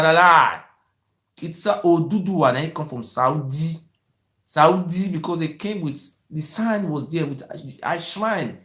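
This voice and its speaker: A man's voice: medium pitch, vocal fry. Impassioned man in an echoey room. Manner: excited, rising to near-shouting, repetitive "you see". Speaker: channel host. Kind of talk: impassioned monologue.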